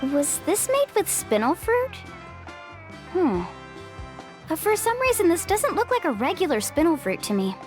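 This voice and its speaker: Cheerful young tour guide girl, upbeat and bouncy, soft game music. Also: very high voice, sing-song, unhurried, pauses often, exaggerated voice. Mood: playful. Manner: upbeat, playful, confident. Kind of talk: video game voice acting. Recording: game audio with music behind.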